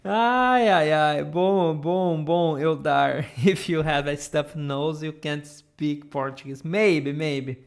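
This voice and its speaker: Brazilian woman, Portuguese teacher on a live stream, slow and patient, drills sounds over and over, camera mic. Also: deep voice. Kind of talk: live online language lesson.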